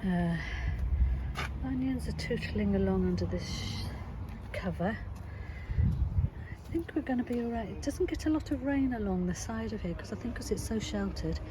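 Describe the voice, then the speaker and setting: A woman's voice: medium pitch, lively intonation. A woman, chatting unhurriedly about her vegetable plot while walking it, sounding snuffly from hay fever. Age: 60s. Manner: chatty, unhurried, self-deprecating. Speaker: gardening vlogger. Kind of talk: casual walk-and-talk commentary. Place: sloping vegetable plot outdoors. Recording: loud outdoor background noise.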